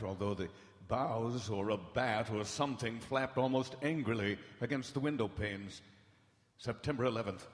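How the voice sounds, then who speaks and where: A man's voice: mid-range voice, lightly acted. Male, acted anguished doctor, solemn journal narration, old-time radio horror drama, echoing hall. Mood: solemn, reassuring. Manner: solemn, dramatic, measured storytelling. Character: grave, anguished male doctor reading his private journal. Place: large hall stage before live audience. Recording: echoey hall, live stage broadcast.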